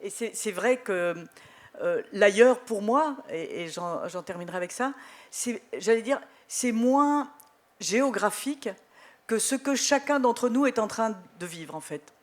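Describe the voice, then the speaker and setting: A woman from Belgium, a journalist guest on a festival panel, telling animated anecdotes with wry humor. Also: fairly high pitch, unhurried. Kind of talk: live panel conversation.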